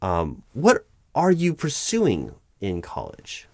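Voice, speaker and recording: A man playing a patient but baffled corporate recruiting manager in a one-sided interview roleplay, speaking in a halting, stammering, diplomatic way. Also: medium pitch, huge pitch swings, background noise, full character voice. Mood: diplomatic, puzzled.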